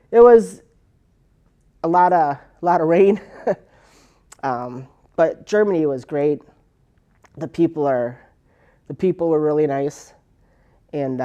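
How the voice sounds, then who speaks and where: A woman's voice: sits low, mellow. An older woman, an Air Force veteran, recounting her service in a rambling oral history interview full of fillers and restarts. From United States.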